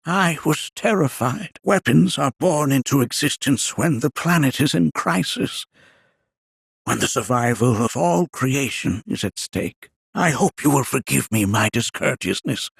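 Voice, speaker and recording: An actor voicing a wise, kindly old scholar in a video game, with a raspy, aged voice and a ponderous, formal manner. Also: male voice, a little high, no room sound, exaggerated voice. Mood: solemn, confiding. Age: older. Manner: ponderous, formal, archaic storyteller phrasing. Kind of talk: video game character voice acting.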